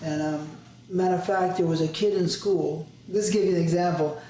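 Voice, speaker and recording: A man's voice: high-pitched, steady tone, normal speed, warm tone. A man interviewing a guest in a loose, profane way, telling stories with laughs. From Southern California. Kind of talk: casual conversational interview. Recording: very echoey room, faint noise.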